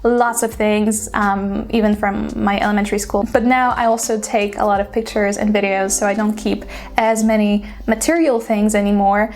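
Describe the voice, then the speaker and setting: A woman's voice: mid-range voice, little pitch movement, normal speed, a little mellow. A woman in her twenties from Poland with a Polish accent, chatting casually to her viewers with frequent "like" fillers. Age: 20s. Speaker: YouTuber. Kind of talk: casual vlog chat.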